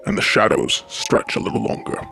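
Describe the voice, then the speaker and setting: A man's voice: brisk, pushed and bright, soft background music. A man reading scripted, spooky promo narration in an ominous storyteller manner. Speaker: announcer.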